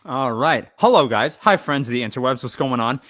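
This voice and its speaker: A man's voice: medium pitch, animated, brisk, fairly bright tone, dry recording, clean studio sound. A man who works as a voice actor, chatting casually on a cast panel in a playful, jokey way.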